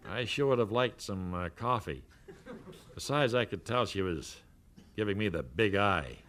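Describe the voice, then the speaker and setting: A man's voice: lowish voice, expressive, normal speed, a bit bright. A man playing a brash young man who has just been made vice president, in a radio comedy sketch.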